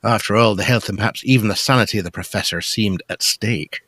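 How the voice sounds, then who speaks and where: A man's voice: fairly low pitch, fast talker, a bit bright, no echo. A man reading scripted narration for a gothic audio drama.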